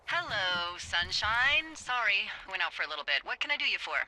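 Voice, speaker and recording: Bright, wry American lookout on radio. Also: female voice, mid-range voice, sing-song, normal speed, rough recording. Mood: chipper. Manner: playful, breezy, wry. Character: wry, chipper, foul-mouthed adult woman fire lookout. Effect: two-way radio. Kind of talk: naturalistic video game dialogue.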